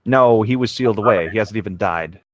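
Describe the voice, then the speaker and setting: A man's voice: on the low side, fairly quick. A sardonic man reading fan fiction aloud and mocking it in casual banter.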